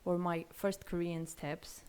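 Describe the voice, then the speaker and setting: A woman's voice: on the low side, little pitch movement, takes it slowly, darkish tone, slight background noise. Hesitant woman. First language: Armenian. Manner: hesitant, many fillers, laughs often. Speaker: guest. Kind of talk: casual interview.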